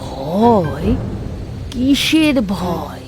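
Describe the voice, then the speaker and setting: A woman voicing an old village grandmother in a cartoon folk tale, with a drawn-out, repetitive way of talking. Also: fairly low pitch, big pitch swings, noisy background, heavily acted. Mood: sly, coaxing.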